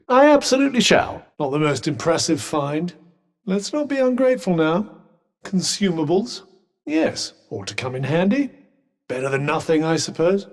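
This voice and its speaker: A British actor voicing a witty, haughty magical cuff in a video game, speaking in a dry, wordy, formal way. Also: male voice, a little high, a little mellow, in a large hall.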